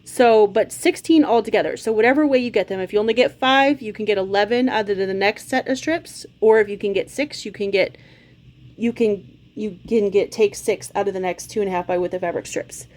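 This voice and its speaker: A woman's voice: mid-range voice, brisk, a little mellow. Friendly woman teaching quilt cutting. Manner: friendly, chatty, step-by-step explaining. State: slight cold, occasional cough. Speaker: quilting channel host. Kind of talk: casual sewing tutorial. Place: home sewing room, indoors.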